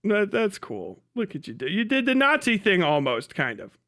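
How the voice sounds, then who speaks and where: A man's voice: sits high, huge pitch swings, moderate pace, very noisy. A man livestreaming mocking commentary on a video, casual and sweary, with incredulous exclamations.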